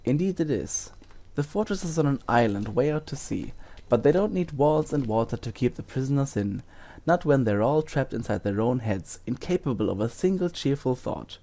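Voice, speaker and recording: An actor in an audio drama playing a gentle, weary wizard teacher who explains things in a grave, measured, reassuring way. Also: male voice, medium pitch, lively intonation, close to an everyday voice.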